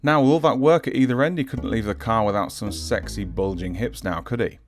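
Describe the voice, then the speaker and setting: A man narrates in a fairly low voice. He reads a prepared script as the host of a hobby showcase, with guitar music playing underneath. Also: fairly quick. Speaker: host of a scale-model showcase series. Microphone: handheld audio recorder.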